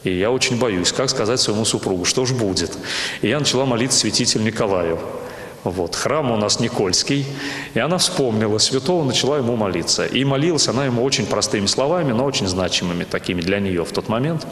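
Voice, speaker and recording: A man's voice: lowish voice, animated, quick pace, fairly bright tone. A middle-aged man, a parish priest, telling stories in an on-camera interview. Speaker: parish priest, church rector. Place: inside a small church. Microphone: handheld interview microphone. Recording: echoey, reverberant church interior.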